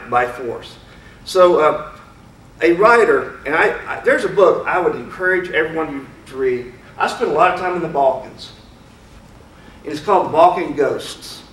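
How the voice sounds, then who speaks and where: A man with a fairly high voice gives a loose, opinionated lecture and keeps throwing rhetorical questions at the room. He is picked up from a distance, so his voice carries the echo of a large lecture room. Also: slight background noise. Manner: conversational, asks many rhetorical questions; opinionated, speaks frankly. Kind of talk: informal lecture to an audience. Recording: roomy hall, mic far off.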